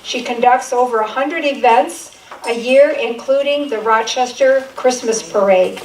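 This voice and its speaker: Woman host, high voice, steady read-out introduction, faint background noise. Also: a little slow, distant mic. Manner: steady, reading a list of credentials. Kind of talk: reading a formal introduction. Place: meeting room. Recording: echoey room recorded from afar, faint noise.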